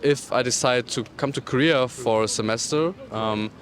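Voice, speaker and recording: A man from Germany, answering street interview questions in a casual, hesitant way with many pauses. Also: medium pitch. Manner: hesitant, many fillers and restarts. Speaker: tourist interviewee. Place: city street outdoors.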